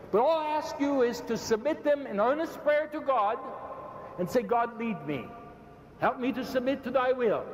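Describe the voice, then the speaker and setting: A man's voice: extremely high pitch, slight background noise. An older man from Ireland preaching emphatically to a large audience, building repeated phrases and gesturing as he moves around a podium. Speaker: bishop debating in a public debate. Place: large indoor arena stage. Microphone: podium microphone, speaker often stepping away. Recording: echoey arena with long reverb.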